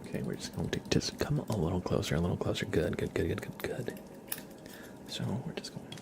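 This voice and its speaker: A man livestreaming ASMR, soft-spoken and playful, slipping between a murmur and a whisper as he chats and roleplays. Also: deep voice, expressive, fairly quick, vocal fry. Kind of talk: ASMR live chat with roleplay, partly whispered. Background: scissor sounds.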